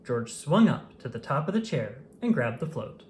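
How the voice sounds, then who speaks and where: A woman reading a children's picture book aloud in an expressive storytelling manner. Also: very deep voice, moderate pace, slight background noise. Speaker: storybook reader. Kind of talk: children's read-aloud narration.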